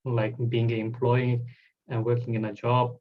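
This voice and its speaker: A man's voice: mid-range voice, completely flat delivery, normal speed, phone-quality sound. A man, hosting a casual interview and talking loosely with many "like" fillers. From Bangladesh. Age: 20s. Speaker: host.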